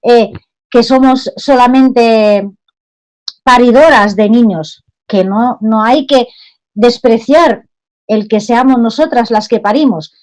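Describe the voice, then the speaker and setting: A woman from Palestine, an activist speaking earnestly at an online panel over a video call microphone, pausing often with "eh" and restarting her sentences. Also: fairly high pitch, a little mellow, poor audio. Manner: earnest, fervent, with frequent "eh" pauses and restarts. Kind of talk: impassioned talk at an online panel.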